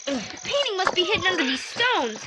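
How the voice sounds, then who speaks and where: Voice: very high voice, big pitch swings, brisk. An actor playing a nervous but plucky boy detective in an audio drama, exclaiming and questioning. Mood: eager. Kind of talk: 1980s British audio drama. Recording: very noisy old tape.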